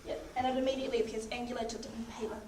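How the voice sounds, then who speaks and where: A young woman in a paramedic practical exam, calmly talking a patient through each step while losing her voice. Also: on the high side, very fast. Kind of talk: narrating a clinical skills demonstration. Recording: echoey, distant, noisy room.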